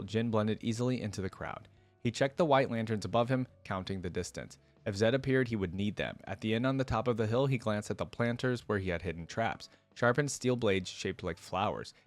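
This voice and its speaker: A man's voice: lowish voice, no echo. A man reading a story aloud and breaking in with casual, enthusiastic commentary as a reaction video creator. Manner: casual, enthusiastic asides with frequent "like".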